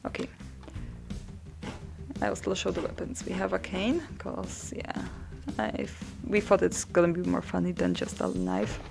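Young woman narrating gameplay from her desk, gravelly fry in her voice, chill and dry, game music underneath. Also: lowish voice, measured pace. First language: Polish. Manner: relaxed, dry humor, thinks aloud with ums. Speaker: mod developer showing a game. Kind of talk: casual gameplay commentary.

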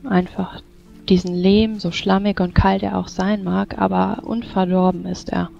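A woman voicing a calm female mage who gives measured instructions in a tabletop game. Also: mid-range voice, gravelly, muffled recording, soft background music. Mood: calm, instructive.

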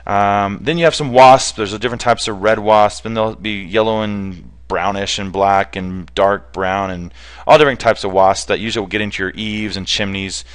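A man's voice: lowish voice, fairly even intonation, moderate pace, smooth. A man giving an informal, unscripted explanatory presentation in a conversational, plain-spoken way, as a hands-on specialist presenter. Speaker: bee removal specialist presenter.

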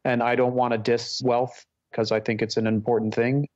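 A man's voice: medium pitch, level tone, moderate pace, darkish tone, highs cut off. A man who grew up in Hong Kong, a therapist and teacher, telling his life story in an earnest, candid way and searching for words. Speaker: podcast guest, psychotherapist and Buddhist teacher. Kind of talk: reflective personal storytelling.

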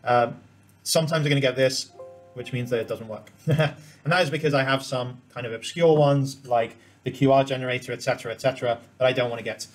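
A man presents an informal software tutorial in a medium-pitched voice. He talks at a brisk clip, walking through each step as he demonstrates it on screen. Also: fairly even intonation, soft background music. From Cambodia. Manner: explains step by step while demonstrating.

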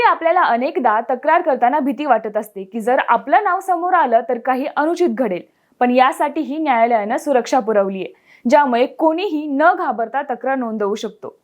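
A woman presents a scripted news explainer, her voice pitched high. She speaks clearly and in a measured way, like a channel presenter.

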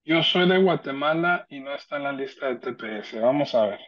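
A man's voice: mid-range voice, animated, brisk. A man giving an informal explanatory online presentation as a legal representative, talking in a conversational, somewhat hesitant way over a video call. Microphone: video call computer microphone. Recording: dull lo-fi video call audio.

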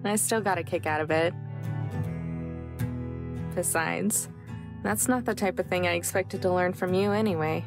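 A woman's voice: on the low side, normal speed, long pauses, close to an everyday voice. An actress playing a heartbroken young woman in a visual novel, confiding and wistful, with raw emotion. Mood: wistful, fond. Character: heartbroken young woman on a desert road trip. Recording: background music under voice.

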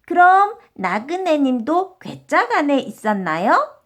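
Lady doing a tiny smart bunny from a kids story, high bouncy voice, playing innocent. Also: sing-song, slow, full character voice. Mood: feigned confusion. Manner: innocent, questioning, playful. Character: clever little rabbit feigning innocent confusion. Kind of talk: children's storybook character voice.

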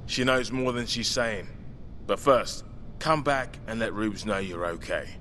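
An actor playing a wisecracking, streetwise British survivor in a zombie video game, flippant and slangy in manner. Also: male voice, on the low side, unhurried, pushed and bright. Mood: wry, insistent. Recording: faint background ambient noise.

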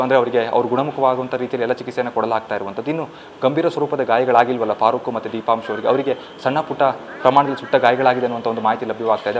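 Fast-talking young male reporter, noisy location. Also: mid-range voice. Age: young adult. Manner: wordy, repetitive phrasing. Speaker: field reporter. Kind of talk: live news report. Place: hospital entrance. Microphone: handheld reporter microphone. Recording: clearly noisy live location feed.

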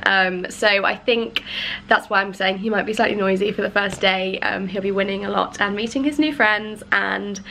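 A young woman vlogging casually to camera at arm's length in her living room, bubbly and chatty, her voice slightly croaky from a cold. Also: mid-range voice, a bit bright, slight background noise. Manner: bubbly and chatty, many ums. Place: bright home living room indoors. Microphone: handheld camera at arm's length.

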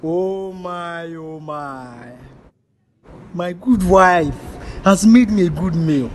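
A young man with a Nigerian English accent playing a comic husband who complains about his dinner with a drawn-out, theatrical delivery. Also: sits high, a little hiss or hum. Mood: pleased. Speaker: stage actor. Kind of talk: live stage drama. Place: theatre stage, large hall.

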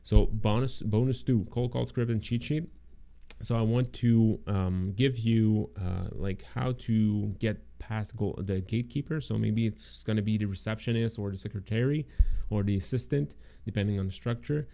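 A man's voice: fairly low pitch, creaky, dark tone. A man in his thirties casually presenting business bonuses on a livestream into a close mic, rambling with fillers and restarts, his voice worn from talking for hours. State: losing his voice, throaty. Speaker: agency owner presenting course bonuses. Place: home room indoors. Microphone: close studio mic in frame.

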